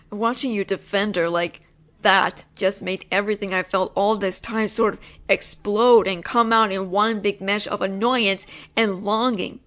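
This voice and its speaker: A young woman voice actor in an intimate audio roleplay, playing a possessive, teasing roommate who talks low and lingers, sometimes sinking into whispers. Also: steady tone, unhurried, a bit bright.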